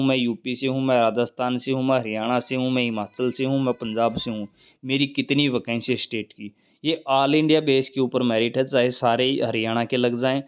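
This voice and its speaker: A man speaks at an average male pitch in the role of an exam coach. He addresses candidates informally and instructively. He often repeats a point to drive it home. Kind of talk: informal exam guidance talk to viewers.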